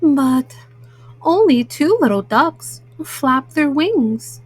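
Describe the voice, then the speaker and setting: A woman storyteller reading a children's picture book at bedtime, slowly and simply, as a mama to young listeners. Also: high-pitched, animated, darkish tone. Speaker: storyteller host.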